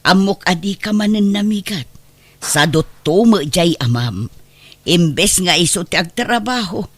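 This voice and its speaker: A woman's voice: on the low side, lively intonation, a little slow, dry recording. An actress playing a caring, outspoken neighbour woman in a radio drama, concerned and ready to scold. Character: caring, outspoken older neighbour woman who employs the boy. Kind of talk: Ilocano radio drama acting.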